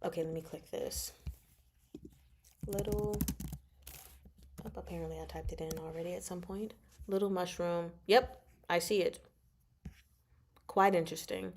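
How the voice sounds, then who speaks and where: A woman's voice: lowish voice, fairly even intonation, a little slow, pauses often, background noise. A woman hosting a casual book discussion stream, rambling and playful as she thinks aloud and answers viewers. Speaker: host of a book discussion livestream.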